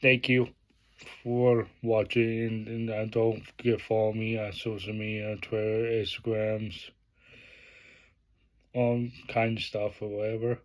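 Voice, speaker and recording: A man unboxing things on his vlog, speaking slowly and at an unhurried pace. His voice stays flat and level, with little rise or fall, and his plain praise keeps getting broken up by fillers and restarts. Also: on the low side. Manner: halting, many fillers and restarts. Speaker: vlogger.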